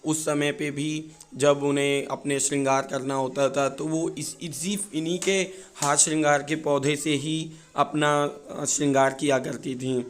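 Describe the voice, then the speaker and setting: A man with a hoarse, sore-throated voice, explaining things informally and a little haltingly as a gardening video presenter. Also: fairly high pitch, level tone. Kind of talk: informal explanatory talk to viewers.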